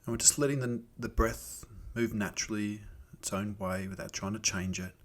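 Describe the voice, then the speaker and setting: A man leading a guided meditation in a gentle, unhurried, soothing manner with pauses. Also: fairly low pitch, fairly even intonation. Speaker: meditation teacher.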